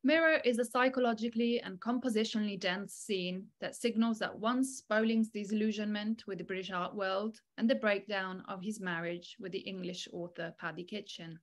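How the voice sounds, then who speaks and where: A woman in her thirties reading a formal academic lecture in a measured, articulate way over a video call. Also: mid-range voice, steady tone. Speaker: academic keynote speaker. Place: small indoor room, online video call. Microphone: video call microphone.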